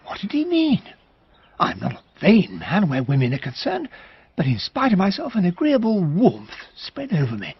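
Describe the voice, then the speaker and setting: An actor playing an earnest, slightly dense English army captain of 1916 in a radio drama, with proper, old-fashioned gentlemanly speech and a husky voice. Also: male voice, high voice, wildly swooping pitch, moderate pace, lo-fi sound, heavily acted. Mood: wistful narration. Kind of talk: radio drama dialogue and first-person narration.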